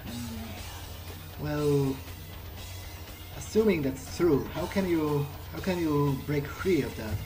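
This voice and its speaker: Male gamer, role-playing aloud in a playthrough: tentative, chatty questions, with clear music behind the voice. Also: a little high, lively intonation, slow pace, long pauses, low-quality recording. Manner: hesitant, questioning, conversational. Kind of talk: in-character improvised replies in a game playthrough. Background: game music.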